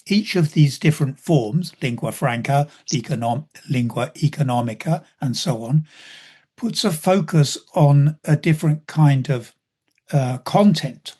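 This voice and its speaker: A British man giving an unscripted answer as a symposium speaker, in measured academic phrasing with many hesitant pauses. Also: a little high, darkish tone, breathy edge. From Britain.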